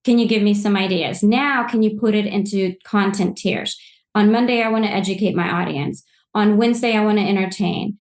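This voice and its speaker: A woman's voice: mid-range voice, fairly even intonation, a little fast. Upbeat immigrant woman from Poland, roomy echo. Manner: enthusiastic, rambling with restarts. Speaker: social media agency guest. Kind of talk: podcast interview answers.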